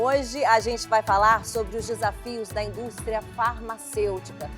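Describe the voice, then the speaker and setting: A woman with a Brazilian accent hosting a business interview in an informal, conversational way. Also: mid-range voice. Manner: informal, chatty phrasing. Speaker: TV interview host.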